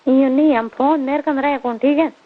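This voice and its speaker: A woman's voice: high-pitched, fairly even intonation, brisk. A woman with a Marwari accent chatting casually and intimately as a caller on a personal phone call. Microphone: telephone line. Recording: thin, band-limited phone call recording.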